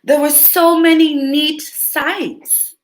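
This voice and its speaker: A woman voicing a cheerful young cat from a children's storybook, speaking slowly and gently. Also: high-pitched, fairly even intonation, voice pushed up. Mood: content. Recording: roomy echo.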